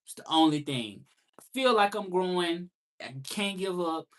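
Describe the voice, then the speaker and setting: A man's voice: high-pitched, animated, moderate pace. Southern man, 30s, reflective livestream host, repeats key points. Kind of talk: casual live monologue.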